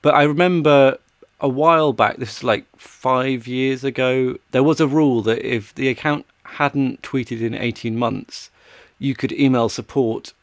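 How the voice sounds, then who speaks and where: A man in his thirties co-hosting a casual tech podcast in a matter-of-fact, low-key way. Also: a little slow, no room sound. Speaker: podcast co-host. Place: small home office.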